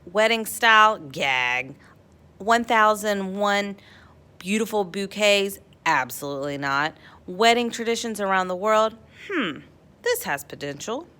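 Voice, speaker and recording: Woman playing a bright, spirited young girl inventor. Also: medium pitch, expressive, measured pace, clear, resonant tone. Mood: scornful, then intrigued. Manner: emphatic, exclamatory.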